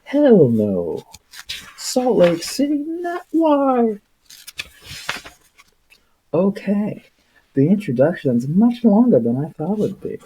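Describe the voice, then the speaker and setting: Slow-talking man with a dark, husky voice. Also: high-pitched, huge pitch swings, rough recording. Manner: irreverent, sweary, exasperated. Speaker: livestream host reading a book aloud. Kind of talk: casual rambling livestream with read-aloud passages.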